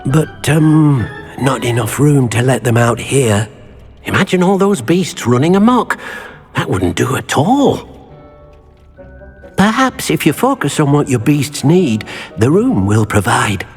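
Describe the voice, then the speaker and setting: Small fussy house elf, lively up-and-down delivery, polite, game music faint behind. eager and servile. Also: male voice, medium pitch, measured pace. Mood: helpful. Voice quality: talks of himself in third person. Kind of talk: video game voice acting.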